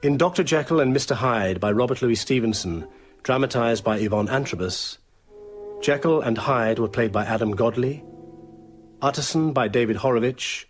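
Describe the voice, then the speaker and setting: A man's voice: mid-range voice, moderate pace, soft background music. A man with a British accent reading scripted credits formally as a radio announcer. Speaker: closing announcer.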